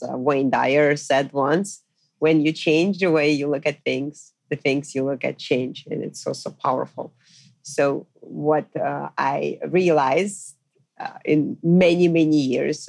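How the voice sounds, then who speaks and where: A middle-aged woman with a Russian accent, hosting a podcast as a dating coach in casual, reflective talk, pausing often with fillers. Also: sits low, no room sound. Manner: reflective, pauses with frequent fillers. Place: quiet farmhouse in the countryside.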